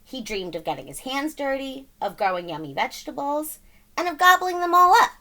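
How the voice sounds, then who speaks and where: Animated, bright-voiced woman reading a storybook. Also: sits high, fairly quick. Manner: dramatic, emphatic, playful with sound words. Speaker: storybook reader. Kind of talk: animated children's read-aloud narration.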